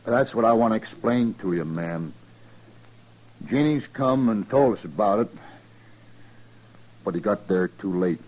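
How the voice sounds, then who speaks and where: An actor playing an evasive, callous American rancher in a 1950s radio western, with folksy, halting, understated talk. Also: male voice, on the low side, lively intonation, a little mellow, highs cut off. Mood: sheepish, explaining. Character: evasive, callous male rancher confessing to a lynching.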